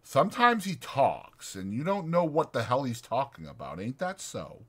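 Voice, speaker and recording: A man's voice: medium pitch, normal speed, breathy edge, pitched above the performer's own voice. A man voicing a lonely, bitter, needling stable hand in a dramatized audiobook, with folksy, insistent, taunting talk. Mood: probing. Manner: insistent, taunting, folksy, drawn into excited speeches.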